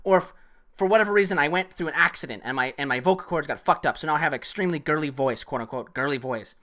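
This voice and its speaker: A man's voice: on the high side, fast, bright, forceful tone. A man arguing heatedly with a viewer on his live stream, swearing often. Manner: heated, argumentative, swears a lot. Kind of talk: casual live-stream rant.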